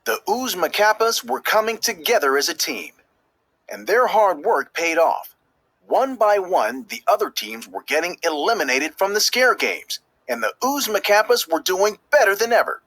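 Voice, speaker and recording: A man narrates a children's storybook in a fairly high, very animated voice with a slightly husky edge. His delivery is expressive and emphatic, leaning on key words as he tells the tale. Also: normal speed, no room sound. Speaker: storybook reader. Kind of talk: scripted children's read-aloud narration.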